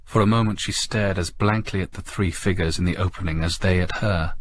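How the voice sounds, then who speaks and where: A man reading a suspenseful story as an audiobook narrator, in a measured, grave, dramatic storytelling style. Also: low voice, fairly even intonation, slight background noise.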